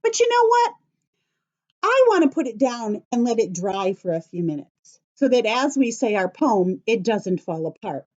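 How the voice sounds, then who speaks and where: Woman, teacher of young children, slow and simple instructions, sing-song swings in pitch, slightly dull lo-fi recording. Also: sing-song, a little mellow. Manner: simple step-by-step phrasing, encouraging children with questions. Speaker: children's teacher leading craft and story time.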